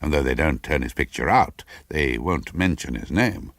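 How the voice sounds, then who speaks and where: A man's voice: very deep voice, expressive, normal speed, fairly bright tone, no room sound, studio quality, exaggerated voice. A man reading aloud as a cultured, well-bred English husband, urbane and casually knowing, in a radio story. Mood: musing, confiding. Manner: light, urbane, casual lecturing.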